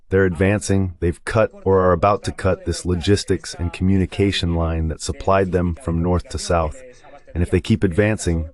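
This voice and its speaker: A man's voice: deep voice, darkish tone. A man's synthetic dubbed voice giving explanatory commentary over a map, as a guest analyst, often repeating phrases. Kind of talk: live map-based war commentary.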